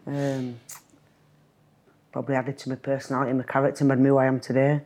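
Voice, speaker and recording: A woman's voice: extremely low pitch, level tone, fast talker, dark tone. A middle-aged woman from Leeds speaks with a Yorkshire accent. She is a guest on a casual podcast, telling stories in a chatty way and laughing often. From Leeds, England.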